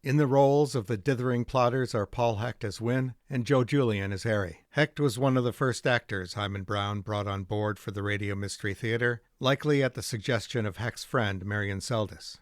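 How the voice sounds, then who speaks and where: A man hosting a radio drama rebroadcast series, giving a measured, polished scripted introduction. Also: fairly low pitch, no room sound, clean studio sound. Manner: measured, polished presenter delivery. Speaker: host. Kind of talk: scripted narration.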